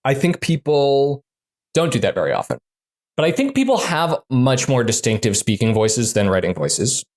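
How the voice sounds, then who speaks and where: A man who designs games, talking casually in an interview, full of fillers and quick restarts. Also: mid-range voice, big pitch swings, darkish tone. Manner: chatty, many fillers and restarts. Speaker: game designer guest.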